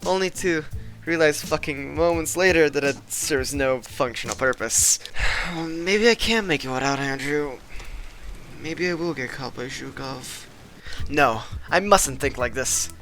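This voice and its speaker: A young man narrating his gaming footage in a deadpan, mock-epic style, swearing casually. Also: a little high, unhurried, soft background music. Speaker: gaming vlogger. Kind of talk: comedic scripted narration over gameplay.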